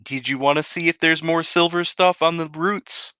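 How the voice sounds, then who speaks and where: Male tabletop player, bright forceful tone, very dry recording, casual and practical. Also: a little high, steady tone, normal speed, clean tone, no room sound. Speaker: player in a tabletop role-playing game. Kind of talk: casual improvised game chat.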